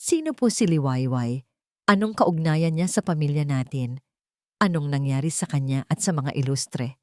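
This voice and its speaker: Woman voicing a desperate young woman, pleading, low-pitched. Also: animated, normal speed, no room sound, studio quality.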